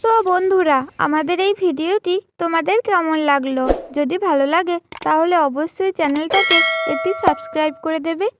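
A woman's voice: very high-pitched, brisk, few pauses, music in the background. A woman storyteller reading scripted narration for children's cartoon tales.

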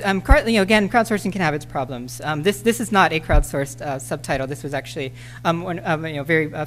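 A woman in her thirties speaking as a panelist at a podium in a lecture hall, halting, with many ums and restarts. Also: low voice, slight background noise. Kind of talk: conference talk with slides. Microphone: podium microphone.

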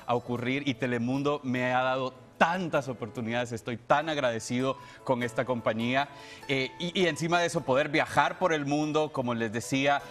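A man's voice: mid-range voice, a little fast, a bit bright. A man in his thirties from El Salvador, a television presenter giving a heartfelt, unscripted farewell in an earnest, grateful way. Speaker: TV presenter saying farewell to the show. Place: television studio. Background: music bed.

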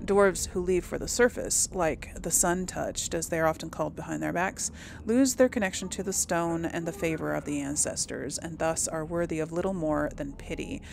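A woman's voice: deep voice, normal speed, creaky, slight background noise. A woman hosting a casual let's-play, reading lore entries aloud and thinking aloud with many ums.

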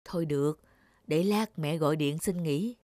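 A woman voicing a gentle, forgiving retired mother who reassures patiently in a cartoon drama. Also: low voice, normal speed, no echo, natural voice. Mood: resigned, forgiving. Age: older.

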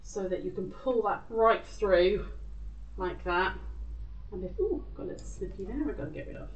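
A woman's voice: mid-range voice, moderate pace, a little hiss or hum. A British woman in her forties giving a casual live craft demonstration, chatty and informal, sometimes muttering to herself as she works. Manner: chatty, informal, talks to self while working. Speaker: craft tutor and live show host. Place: indoor craft room. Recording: echoey room, distant microphone.

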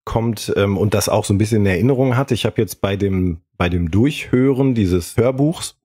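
A man speaks in a low, dark, slightly husky voice. He chats as a podcast co-host, thinking aloud in long, wordy stretches. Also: moderate pace. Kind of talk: casual podcast chat.

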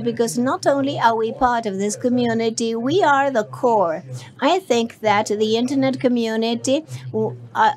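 A woman giving live interpretation of a conference speech, halting with many fillers and restarts. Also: on the high side, darkish tone. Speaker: simultaneous interpreter. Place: conference hall.

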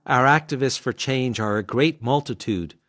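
A man's voice: medium pitch, normal speed, fairly bright tone, close to an everyday voice. A man playing a cool, collected sage of sixty in a radio drama, speaking in solemn declarations. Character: cool, collected sixty-year-old male doctor and sage. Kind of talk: scripted radio drama acting.

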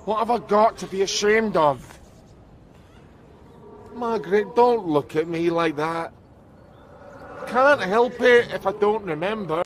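An actor playing a guilt-ridden, confused middle-aged Scottish drunk in a video game, rough-spoken and halting. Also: male voice, sits high, lively intonation, a little hiss or hum. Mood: confused, ashamed. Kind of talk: dramatic video game acting.

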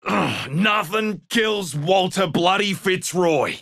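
An actor playing a rowdy, cheerful brawler in a video game. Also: male voice, sits high, lively intonation, slow pace, vocal fry, fairly bright tone, heavily acted. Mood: rowdy, defiant.

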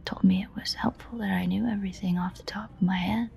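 A breathy whisper with a creaky edge, over noticeable background noise. An actress plays an obsessive, guilt-ridden woman in an audio roleplay. She pleads haltingly and intimately with the one she loves. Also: mid-range voice, steady tone, warm tone. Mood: obsessive, uneasy. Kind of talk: whispered dramatic audio roleplay addressed to a listener.

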